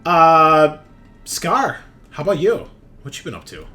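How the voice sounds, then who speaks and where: A man's voice: a little high, wildly swooping pitch, measured pace, pushed and bright. A man hosting a tabletop roleplaying show, talking casually and bluntly with swearing and emphatic tags like "right?". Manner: ranting, emphatic, punctuating with "right?" and "okay?". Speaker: host and game master of a tabletop roleplaying show. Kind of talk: casual, profane opinionated chat.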